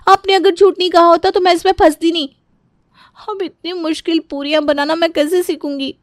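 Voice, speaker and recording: A woman voicing an earnest, expressive young daughter-in-law in a Hindi cartoon story, pleading and eager. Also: very high voice, a little fast, dry recording, studio quality. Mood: distressed.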